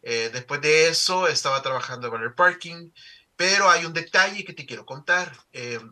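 A man's voice: fairly high pitch, lively intonation, moderate pace, bright, forceful tone. A middle-aged man from El Salvador with a Salvadoran accent, telling his story in an interview with many fillers and restarts. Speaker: newspaper founder and CEO.

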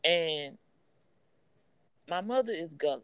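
A woman from the United States, co-hosting a podcast, speaks in a heartfelt, halting way. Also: low voice, animated, clear, resonant tone, no room sound. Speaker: podcast co-host. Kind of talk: casual conversation. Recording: dry, dull lo-fi audio.

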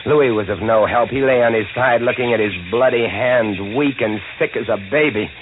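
An actor playing a desperate, weary lighthouse keeper, narrating dramatically and deliberately in old-time radio horror style. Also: male voice, medium pitch, background noise, close to an everyday voice. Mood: tense, exhausted. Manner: dramatic, deliberate, hushed to urgent swells. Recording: tinny, band-limited vintage radio recording.

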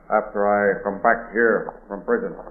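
A man acts out a big, solemn cave guide from an old radio serial. He speaks slowly in terse, broken English with a low voice and sounds wary of spirits. The recording is tinny and echoey. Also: fairly even intonation, slight background noise, close to an everyday voice. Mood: uneasy. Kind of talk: old-time radio drama acting.

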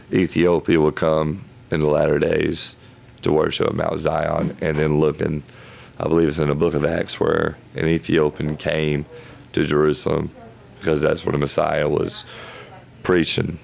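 A man with an extremely deep, heavily gravelly voice talks through scripture in a casual podcast. His delivery is flat and halting as he thinks aloud in loose phrases. He sounds hoarse, as if his voice is going. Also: slight background noise. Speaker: podcast host. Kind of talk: casual Bible study talk.

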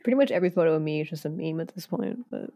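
A young woman chatting on her podcast in a low, gravelly voice, with a dark, mellow tone. She keeps laughing and trailing off into fillers as she hunts for words. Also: no room sound, studio quality. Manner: giggly, hesitant, many fillers. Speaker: podcast host. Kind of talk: casual chat.

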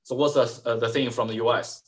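A man's voice: mid-range voice, steady tone, a little fast, husky. Hesitant man with frequent restarts. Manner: hesitant, many fillers and restarts. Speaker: interview guest, analyst. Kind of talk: conversational interview answers.

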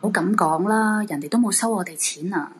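A woman's voice: mid-range voice, brisk, husky. A woman playing an anxious, polite, haunted wife in a radio drama, earnest and pleading, with a Hong Kong Cantonese accent. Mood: gently chiding.

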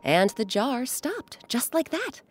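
A woman hosting and narrating a scripted children's story in an expressive, dramatic storytelling manner. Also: on the high side. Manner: expressive, dramatic pauses, addresses young listeners. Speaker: host and narrator. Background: music.

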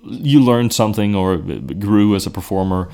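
A man with a Swedish accent interviewing a musician in English, relaxed and quick to laugh, with frequent restarts. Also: on the low side, gravelly. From Skåne, Sweden. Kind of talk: casual conversational interview.

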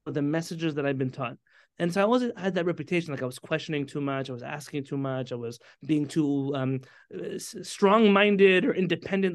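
A man in his thirties from San Diego, a rabbi, telling his story in an animated way with Hebrew terms and frequent restarts. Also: fairly high pitch, clear, resonant tone, no echo, studio quality. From San Diego, California. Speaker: podcast guest, rabbi. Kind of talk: conversational interview storytelling.

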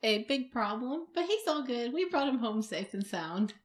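Woman doing a bubbly little adventurer, high, bouncy. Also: expressive, normal speed, lightly acted. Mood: cheerful. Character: small cheerful female adventurer.

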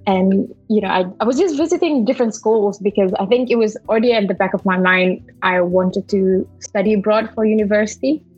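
A woman's voice: mid-range voice, brisk, few pauses, a little mellow, slightly rough, lo-fi sound, faint music behind. A young woman in her twenties from Indonesia with an Indonesian accent tinged with Malaysian, chatting casually as a podcast guest with many fillers and restarts. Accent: Indonesian with a bit of Malaysian. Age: 20s. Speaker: podcast guest, university student. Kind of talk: casual interview chat.

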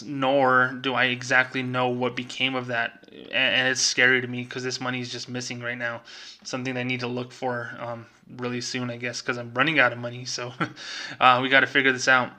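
A man's voice: medium pitch, flat delivery, brisk, bright, forceful tone. A middle-aged man speaking as a vlogger, telling a personal story in a casual, conversational way and acting out remembered dialogue.

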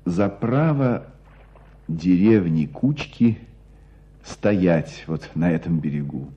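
A man acting in a radio play as a knowing hunter who tells a story with deliberate emphasis. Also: deep voice, animated, dark tone, slightly rough, exaggerated voice. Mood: animated storytelling. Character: knowing male hunter recounting an old court case. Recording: dull, muffled radio play sound.

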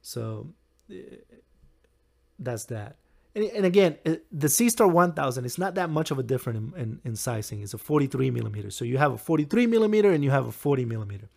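A young man with a hoarse, recovering voice, hosting a solo podcast in a rambling, halting way with frequent chuckles. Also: medium pitch, sing-song, a little mellow. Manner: chuckles often. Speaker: podcast host. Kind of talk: casual solo podcast talk.

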